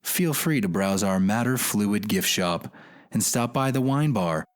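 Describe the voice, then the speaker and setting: A man doing a low-voiced, polite station announcer. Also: animated, measured pace, background noise. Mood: courteous. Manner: polite, formal. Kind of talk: scripted public announcement.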